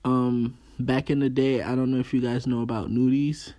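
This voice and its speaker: A man chatting casually and informally as a guest, using relaxed slang as he gives his opinions. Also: medium pitch, normal speed. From Haitian background. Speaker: podcast guest. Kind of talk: casual chat about fashion. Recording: clear background room noise.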